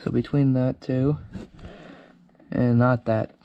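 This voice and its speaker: A man with a medium-pitched voice talks through a hands-on project in a casual how-to style. He thinks aloud as he works, keeping it informal. The recording has noticeable background noise. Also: level tone, takes it slowly, dark tone, muffled recording. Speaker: DIY video host. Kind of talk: casual how-to narration while working. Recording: clear background noise with handling clicks.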